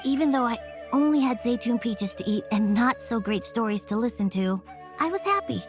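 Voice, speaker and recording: Lady voicing sweet little girl in a game, gentle n hesitant, highish voice, bit of music. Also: animated, moderate pace, close to an everyday voice. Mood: nostalgic, earnest. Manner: childlike, hesitant, sincere. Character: earnest, gentle young daughter, a little girl storyteller. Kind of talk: video game voice acting.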